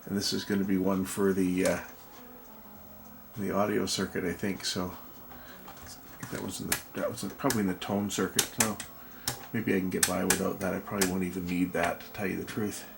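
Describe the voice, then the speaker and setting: A middle-aged man giving casual, halting commentary to himself as he does hands-on repair work. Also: low voice, level tone, vocal fry, heavy background noise. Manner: halting, muttering to himself while working. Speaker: electronics repair vlogger. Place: indoor workbench.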